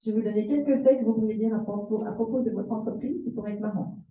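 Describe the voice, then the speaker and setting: A woman's voice: medium pitch, fast talker, slight background noise. A woman interpreting a seminar live, phrase by phrase, expressively echoing the examples and sometimes correcting herself. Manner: echoes the speaker's examples expressively, with self-corrections. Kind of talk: consecutive interpreting of a seminar talk. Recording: roomy, slightly dull sound.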